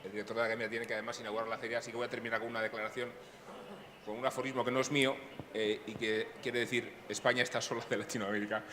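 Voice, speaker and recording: Fast-talking moderator man in a hall. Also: medium pitch, little pitch movement, a crowd in the background. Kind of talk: closing a panel. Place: large hall at a public panel. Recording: hall reverb with clear noise.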